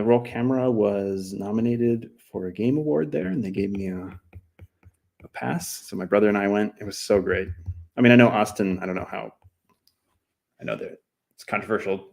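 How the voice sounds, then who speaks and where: American male streamer, low voice with some fry, loose rambling self-deprecating chat. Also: darkish tone. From New Mexico, USA. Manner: rambling, self-deprecating, slips into other languages. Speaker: cartoonist streaming while drawing. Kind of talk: casual live-stream chat.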